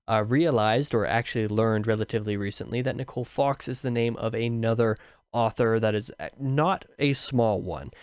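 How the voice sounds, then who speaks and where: A man's voice: mid-range voice, a little mellow, no echo. A young man hosting a casual book review, his voice tired and a little scratchy from talking all day, rambling with many fillers and restarts. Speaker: book review channel host.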